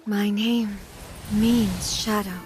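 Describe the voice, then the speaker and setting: Solemn, regal thunder goddess. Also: female voice, medium pitch, fairly even intonation, a little mellow. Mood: mournful. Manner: solemn, measured, formal. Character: solemn, regal female thunder deity ruling a nation. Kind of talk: scripted video game acting. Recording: game music behind the voice.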